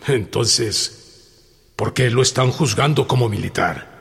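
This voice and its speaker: Un actor que interpreta en una radionovela al confidente leal, prudente y respetuoso de un general, con acento mexicano. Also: male voice, medium pitch, slow pace, vocal fry, fairly bright tone, in a large hall. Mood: concerned. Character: Gildardo, loyal, cautious, respectful male confidant of general. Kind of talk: radio drama acting.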